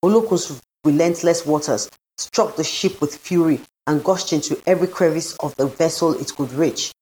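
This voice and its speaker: A woman's voice: low-pitched, moderate pace, a little mellow, poor audio. A woman reading literary fiction aloud as scripted narration, in a solemn, dramatic manner. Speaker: story reader.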